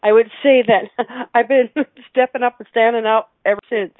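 A middle-aged woman from Canada chatting casually and effusively as an interview guest, playful and quick to laugh. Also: on the high side, fairly even intonation, no room sound. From Alberta, Canada. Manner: effusive, playful, laughs often while talking. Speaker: interview guest, radio show host. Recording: narrow, phone-like line.

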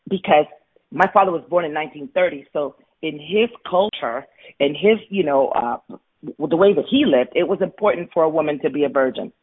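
A woman's voice: low voice, fairly quick, breathy edge. A woman in her forties from Florida, earnestly telling her life story as an interview guest. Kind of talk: candid personal storytelling. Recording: tinny phone-like line.

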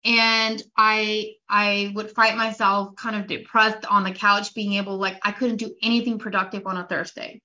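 A woman's voice: mid-range voice, little pitch movement, normal speed, few pauses, pushed and bright. A young woman hosting a podcast, talking casually and personally, with frequent "like" fillers. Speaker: podcast host. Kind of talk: casual solo podcast talk. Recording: slightly dull lo-fi audio.

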